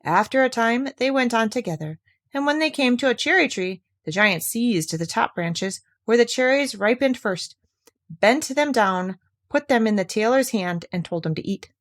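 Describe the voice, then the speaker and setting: A woman's voice: fairly high pitch, animated, normal speed. A woman narrating a classic fairy tale, reading aloud from the text as a storyteller.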